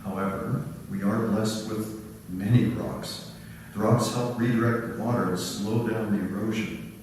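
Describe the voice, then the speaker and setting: A man's voice: lowish voice, fairly even intonation, measured pace, background noise, natural voice. A man playing a practical farmer facing drought and floods, reading matter-of-factly in a church drama. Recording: echoey church from far off.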